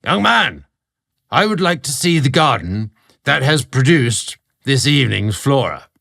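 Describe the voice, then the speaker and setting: A man's voice: mid-range voice, big pitch swings, a little slow, vocal fry, a bit bright, pitched above the performer's own voice, exaggerated voice. A man voicing a rotund, pompous, belligerent British baron in a storybook narration, barking imperiously.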